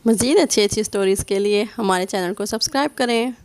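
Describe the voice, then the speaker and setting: A woman's voice: mid-range voice, fast, very noisy. A woman giving scripted narration for a children's cartoon story.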